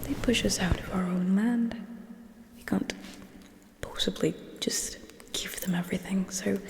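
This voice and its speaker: A haughty female alien commander, acted out by a young woman, whispers close to the mic in an ASMR roleplay. She is slow, breathy and coldly contemptuous, with quiet menace and condescension. The voice rings in an echoey space with a long reverb tail. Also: mid-range voice, close to an everyday voice.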